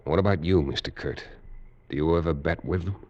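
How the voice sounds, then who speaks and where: A man's voice: very deep voice, fairly quick, a little mellow. An actor playing a tough, wary man who narrates his adventure in terse, hard-boiled 1940s radio style. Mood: wary, probing. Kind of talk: 1940s radio acting, first-person noir narration. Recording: dull vintage radio recording, faint music.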